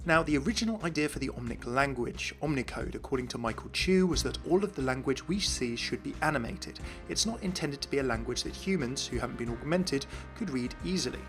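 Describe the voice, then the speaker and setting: A man's voice: medium pitch, expressive, fairly quick, hardly any pauses, a bit bright, faint music behind. A man giving an explanatory voice-over as the host of a game lore series.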